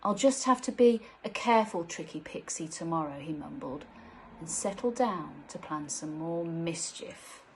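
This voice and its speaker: Lowish-voiced woman reading a storybook. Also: expressive, moderate pace, a little hiss or hum, low-quality recording. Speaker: teacher reading a children's story. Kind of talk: storybook narration.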